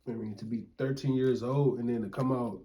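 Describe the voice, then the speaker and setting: A man's voice: medium pitch, fairly even intonation, brisk, dark tone, slight background noise. Laid-back young man chiming in. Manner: laid-back, brief interjections. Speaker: vlogger's husband, co-host. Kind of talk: casual chat.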